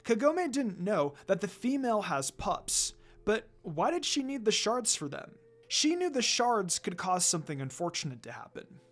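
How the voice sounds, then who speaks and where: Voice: medium pitch, big pitch swings, normal speed. A person reading a fan fiction story aloud as a scripted narration. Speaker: story reader.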